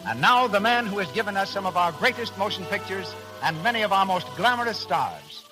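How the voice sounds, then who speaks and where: High-voiced 1930s radio announcer. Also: male voice, very animated, fairly quick, music in the background, poor audio. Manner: polished, declamatory. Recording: old 1930s radio broadcast.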